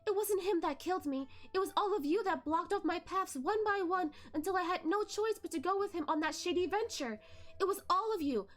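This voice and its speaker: A woman plays a male ghost courtesan full of bitterness, pitched very high and pushed hard with drama. He mocks and accuses in a hateful, storybook-villain manner, over faint music. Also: brisk. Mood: accusing. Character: bitter, vengeful androgynous male ghost, a former brothel courtesan.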